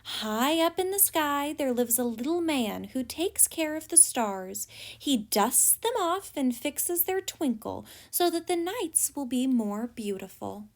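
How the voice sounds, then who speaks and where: Woman as a kindly little storybook ant, high-pitched. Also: sing-song, unhurried, few pauses, heavily acted. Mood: reassuring. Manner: gentle, explanatory, curious. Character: kindly, wise, reassuring little male ant. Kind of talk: children's storybook read aloud.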